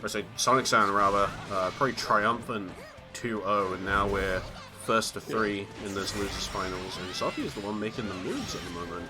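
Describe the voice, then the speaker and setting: A man's voice: fairly low pitch, lively intonation, normal speed, over music. A man doing live fighting-game play-by-play while nursing a cold. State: has a cold. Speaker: esports commentator.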